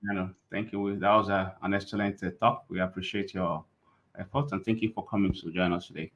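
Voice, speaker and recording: Male session moderator, low voice, reads audience questions. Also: steady tone, brisk, a bit bright, muffled recording. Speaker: session host.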